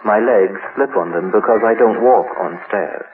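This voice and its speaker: A man reading a horror story in a formal, measured, theatrical style through a radio filter. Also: lowish voice, slight background noise. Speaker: horror story host and reader. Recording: tinny old-radio sound, music behind.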